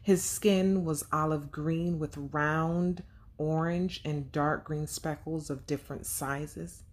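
A woman teaching young children and reading a storybook aloud, speaking simply and deliberately and putting questions to her listeners. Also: deep voice, steady tone.

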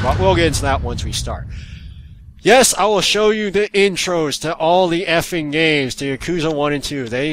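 A man with a fairly high voice hosts a video game let's play. His delivery is hyper and goofy, with a lively, swooping pitch and theatrical outbursts. Music plays behind him. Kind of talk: excitable gameplay commentary.

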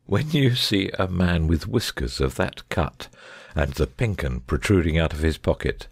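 A man playing a shrewd, unruffled Victorian gentleman detective in a dramatic audiobook. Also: sits low, expressive, normal speed, creaky, slightly rough, dry recording, clean studio sound, exaggerated voice. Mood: pleased, musing. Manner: careless, bland, unruffled.

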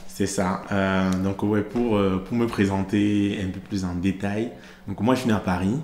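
A deep-voiced man in his thirties, originally from Cameroon, talks through his career in a steady, even tone. He pauses often to gather his thoughts, speaking into a stand mic. The studio sound is a bit roomy, with a faint hiss underneath. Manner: measured, thoughtful, many hesitations. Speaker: podcast host, interviewed guest. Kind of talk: conversational interview. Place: studio set with plants and glass-block wall.

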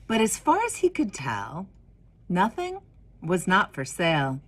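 Woman, forties, low voice, lively intonation, children's storyteller, deliberate dramatic pauses. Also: slightly rough. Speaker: minister of religious education reading a picture book. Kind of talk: expressive children's story reading.

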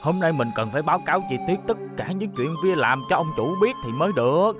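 Man as fat bumbling cartoon henchman, eager, flustered, very high-pitched voice, southern Vietnamese accent, clear music behind. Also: animated, fast talker, natural voice. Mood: sneaky. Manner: flustered, stumbling, exclamatory. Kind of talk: animated cartoon dub.

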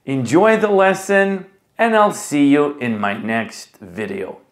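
A middle-aged man speaking as an English teacher, with deliberate, clearly enunciated delivery. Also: on the high side. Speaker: ESL teacher and video host. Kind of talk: presenting to camera and leading a children's class.

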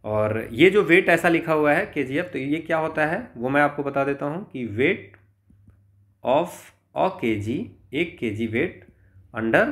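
A man's voice: mid-range voice, fairly bright tone. A man with an Indian accent working step by step through exam problems as a physics tutor, explaining and often checking in. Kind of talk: step-by-step exam solution walkthrough.

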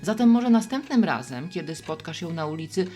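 An actress playing a friendly, wise, gently teasing middle-aged woman who runs a bar, in conversational radio play dialogue. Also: lowish voice, animated, a little fast, faint music behind, lightly acted. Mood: gently reflective. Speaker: barkeeper.

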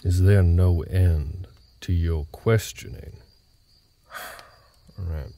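A gruff, guarded cowboy acted by a man with a very dark, low voice that rumbles in an intimate audio roleplay. He talks tersely in folksy ranch-hand phrasing, with crickets chirping faintly behind him. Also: drawn-out words, a little hiss or hum, exaggerated voice. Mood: exasperated. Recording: nighttime cricket ambience behind voice.

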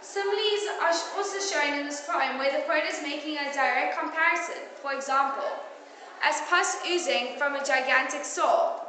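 A woman's voice: high-pitched, moderate pace, bright, forceful tone. A teenage girl giving a school oral presentation, reading carefully from her notes with small restarts. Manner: careful, read-aloud delivery with small restarts. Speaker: student presenter. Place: classroom in front of a projector screen. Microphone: far camera. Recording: echoey classroom from far off, noisy.

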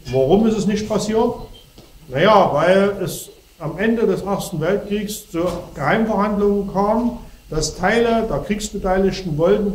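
A middle-aged man with a cold gives a talk to an audience, standing and gesturing as he explains. His voice sits a little high. He is picked up from far off in an echoey hall. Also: a little mellow, slight background noise. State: has a cold. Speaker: speaker. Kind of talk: lecture to an audience. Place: darkened hall before a projection screen. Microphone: far camera.

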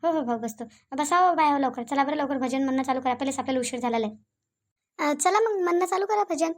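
A woman's voice: high voice, expressive, fast. A woman voicing a bustling older village mother-in-law who hosts guests, in a Vidarbha Marathi accent and a fussy, hurrying manner. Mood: fussy hosting. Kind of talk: cartoon voice acting.

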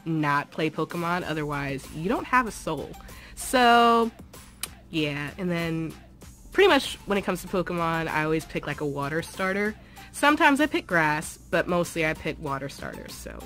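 A chatty young woman with a low, deep voice talks eagerly to a phone held at arm's length, filling her sentences with "um" and "like." She is in a small room at home, and faint music plays behind her. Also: a bit bright. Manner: chatty and enthusiastic, many fillers like "um" and "like". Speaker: dance group member. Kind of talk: casual vlog chat.

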